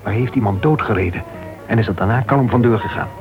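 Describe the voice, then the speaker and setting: An actor playing an earnest, disapproving club member in a Dutch radio play, speaking gravely and insistently. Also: male voice, on the low side, fast, close to an everyday voice. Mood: urgent, grave. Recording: dull old radio recording with music.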